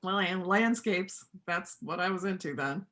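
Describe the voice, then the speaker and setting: A woman's voice: on the low side, a little slow, sharp tone. A woman in a readers' theater play acting a stern, practical rural veterinarian who talks in a terse, matter-of-fact way.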